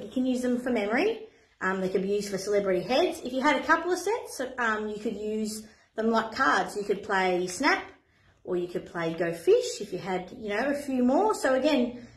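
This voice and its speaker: A woman, a playgroup facilitator chatting to camera in an encouraging way with frequent ums. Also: a little high. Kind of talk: online playgroup lesson, talking to camera. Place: indoor play room. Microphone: camera at a few metres. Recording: echoey room.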